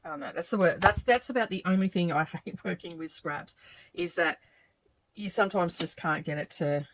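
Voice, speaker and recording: Middle-aged aussie woman talking thru her quilting, bit croaky, lowish voice, lots of ums, faint hiss behind. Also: moderate pace. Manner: chatty, thinking aloud with many ums. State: slightly croaky voice. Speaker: quilting channel host. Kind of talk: casual craft vlog narration while working.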